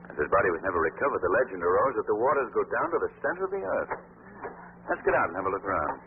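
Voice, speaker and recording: A man acting an urbane, self-assured young gentleman sleuth in a 1940s radio play, breezy and cultivated. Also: fairly low pitch, big pitch swings, rapid-fire. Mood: breezy, amused. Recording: tinny, noisy 1940s radio recording.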